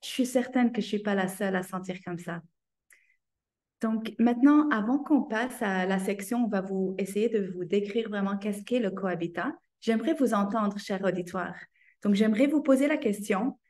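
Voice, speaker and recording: A woman's voice: mid-range voice, moderate pace, darkish tone. A woman hosting an online information session, upbeat and engaging the audience. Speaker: host and moderator. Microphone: video call.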